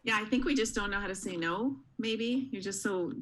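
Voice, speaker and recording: A woman's voice: mid-range voice, normal speed, fairly bright tone. A woman from Tanzania, raised in Canada, a restaurant owner telling her story in a friendly, conversational interview. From born in Tanzania, raised in Canada. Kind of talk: casual interview chat.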